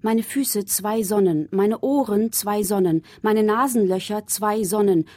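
A woman performing measured, stark poetry in a radio play as a sombre witness of a city at war. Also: mid-range voice, a little mellow, no room sound, lightly acted.

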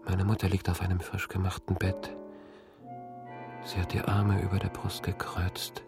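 Haunted dreamer for a radio play: deep, creaky voice, halting and brooding, intimate monologue over music. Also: male voice, completely flat delivery. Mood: detached, calming. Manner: halting, brooding, at times whispering. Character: haunted, dreaming man tormented by disturbing memories.

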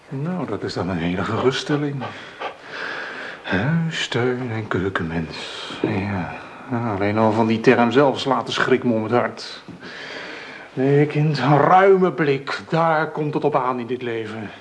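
A man's voice: medium pitch, expressive, slow, long pauses, a little hiss or hum. An actor in his thirties playing a mocking, condescending bohemian man in a radio drama, sardonic and lecturing. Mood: mocking, amused. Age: 30s.

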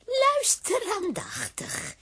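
A woman's voice: extremely high pitch, huge pitch swings, takes it slowly, fairly bright tone, heavily acted. A woman playing a ghostly old lady, commanding yet kindly and solemn, in a children's radio play.

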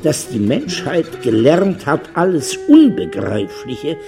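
Slow, gravelly, insinuating corporate lawyer. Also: male voice, on the high side, wildly swooping pitch, over music, exaggerated voice. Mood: smooth, explanatory. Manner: measured, formal, eloquent. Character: smooth, insinuating corporate lawyer, calmly menacing. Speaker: actor in a radio crime drama. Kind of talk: German radio play dialogue.

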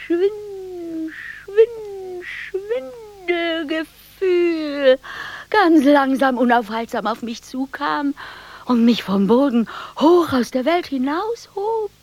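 Slow, dreamy, troubled woman. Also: high voice, animated, darkish tone, no room sound. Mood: entranced, dizzy. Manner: halting, searching, drawn-out phrases. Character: dreamy, troubled woman describing dizziness and bodily longing. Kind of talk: radio play monologue.

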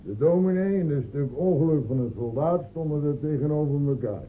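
Actor as tipsy village braggart, wildly animated. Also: male voice, fairly high pitch, a little fast, lightly acted. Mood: storytelling. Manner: stammering, repetitive, blustering. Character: tipsy boastful village coward, excitable and blustering. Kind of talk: radio drama acting. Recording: tinny old radio recording with noise.

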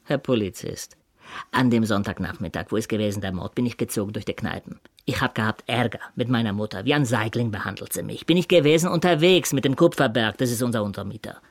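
A man's voice: lowish voice, fast talker, no echo. A male actor in a German radio crime drama playing a wary, defensive tailor in his thirties, speaking tersely in Yiddish-influenced German with inverted word order. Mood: pleading, explaining.

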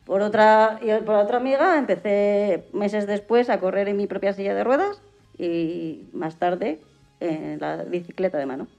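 A woman answering casually as an interview guest, halting and correcting herself. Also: mid-range voice, gravelly, darkish tone. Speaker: interview guest, adaptive athlete. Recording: dull sound, faint music behind.

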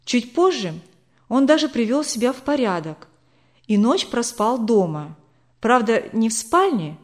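A woman narrating a novel as a scripted audiobook. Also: medium pitch.

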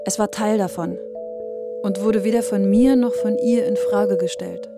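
A woman narrating a personal audio piece in an intimate, reflective, measured manner, from a script. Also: medium pitch, fairly even intonation, loud music behind the voice.

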